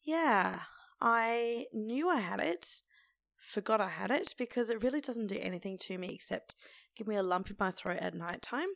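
A woman hosting a casual solo podcast in an informal, conversational way, with a croaky, hoarse voice. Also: medium pitch, lively intonation, normal speed, a bit bright, no echo. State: lingering hoarseness after laryngitis. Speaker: podcast host.

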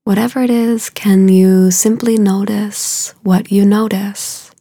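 A woman guiding a meditation in a soothing, hushed way, soft and breathy at the ends of phrases, with unhurried, drawn-out phrasing. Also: mid-range voice, little pitch movement, dry recording. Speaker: meditation guide. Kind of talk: guided meditation.

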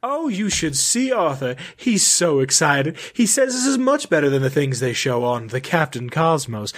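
A man performs a highfalutin mid-century housewife, raised in pitch and very lively in tune. She is pompous and genteel, dwelling on her words with cheerful politeness, as if recording a diary message in captivity. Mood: gushing, excited. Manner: pompous, genteel, drawn-out emphasis.

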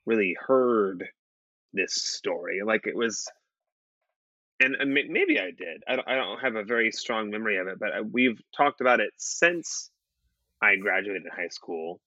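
A man's voice: fairly low pitch, expressive, measured pace, pushed and bright, no room sound, muffled recording. A man in his late twenties chatting casually on a podcast, rambling with restarts and fillers and swearing freely. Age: late 20s. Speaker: podcast co-host. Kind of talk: casual chat.

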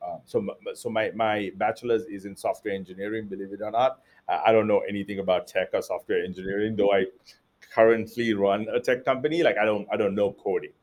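A man from Sri Lanka, an entrepreneur guest, answering interview questions casually with many fillers and restarts. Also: fairly low pitch.

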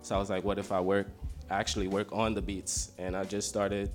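A man's voice: deep voice, level tone, a little fast, faint music behind. Laid-back nineteen-year-old guy. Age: teens. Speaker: young rapper in a music group. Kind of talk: casual interview answers.

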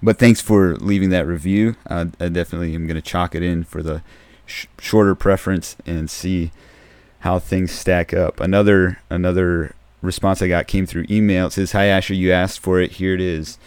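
Podcast guy talking soft and low, deep dark voice, smooth, dry close mic. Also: steady tone, normal speed, dry recording. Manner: soft-spoken, tends to mumble, with agreeing grunts. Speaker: podcast host. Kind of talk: casual solo podcast talk. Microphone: close podcast microphone.

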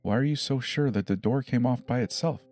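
A man's voice: medium pitch, fairly quick, a little mellow, lightly acted. A man playing an emergency dispatcher in a dramatized horror story, reassuring and methodical, probing with short questions. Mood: insistent, probing. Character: calm, insistent adult emergency dispatcher questioning a child.

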